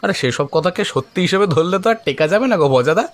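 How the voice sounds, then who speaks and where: A man's voice: sits high, expressive, quick pace, no room sound. A man playing an irritated, sceptical club coach in an audio drama, talking in a scoffing, colloquial way. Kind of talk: Bengali audio drama acting.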